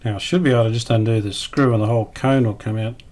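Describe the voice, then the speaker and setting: A man giving casual hands-on restoration commentary, thinking aloud in a tentative, unhurried way. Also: mid-range voice, steady tone, darkish tone. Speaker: radio restorer presenting a repair series.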